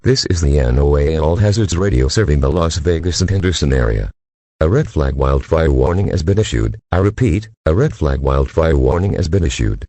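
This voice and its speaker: A synthetic-sounding man's voice as a weather radio announcer, reading official bulletins in a measured, impersonal way. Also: very deep voice, expressive, warm tone, dry recording. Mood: calm, official.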